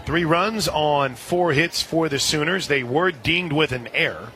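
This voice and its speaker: Higher-voiced man calling softball over crowd noise. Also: unhurried. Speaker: radio play-by-play announcer. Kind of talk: live softball play-by-play commentary. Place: outdoor softball stadium broadcast position. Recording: outdoor ballpark, clear crowd noise behind.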